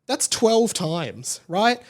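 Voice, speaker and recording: Higher-pitched Australian man podcasting. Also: very animated, very slow. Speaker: podcast host. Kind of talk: casual solo podcast chat.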